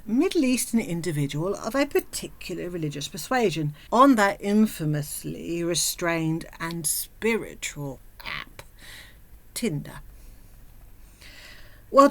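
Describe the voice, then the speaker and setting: A woman narrating a scripted comic story in a sardonic, theatrical manner, with mock-grand wording and drawn-out dramatic pauses. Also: sits low. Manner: mock-grand wordy phrasing. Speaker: storyteller host.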